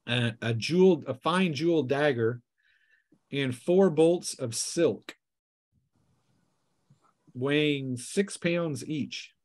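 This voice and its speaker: Man, somewhat high pitch, tabletop game master, casual narration, halting descriptions.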